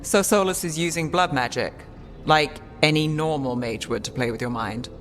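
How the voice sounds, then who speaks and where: A woman playing a cool, sceptical detective mage in a fantasy video game, measured, dry and questioning. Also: low-pitched, soft background music, lightly acted. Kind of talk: video game cutscene dialogue.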